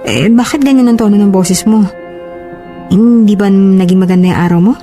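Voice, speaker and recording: A woman's voice: mid-range voice, normal speed, warm tone, faint music behind, lightly acted. A woman playing a devoted, hurt bride-to-be in a relationship drama, speaking emotively with a Filipino accent. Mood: tentative, affectionate. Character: long-suffering, devoted bride-to-be, hurt and defensive.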